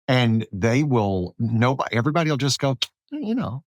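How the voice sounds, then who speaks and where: A man of average pitch hosts a political interview in a conversational style. He talks haltingly, often repeating words while he reaches for the phrase. His voice is recorded dry, with no audible room. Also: very animated, no room sound. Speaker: podcast host, interviewer.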